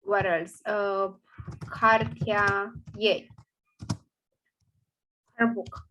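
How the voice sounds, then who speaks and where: A woman's voice: mid-range voice, fairly even intonation, very slow, fairly bright tone, lo-fi sound, slight background noise. A woman with a Romanian accent, a native Romanian speaker, teaching a grammar lesson in English, explaining step by step and thinking aloud with fillers. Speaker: language teacher.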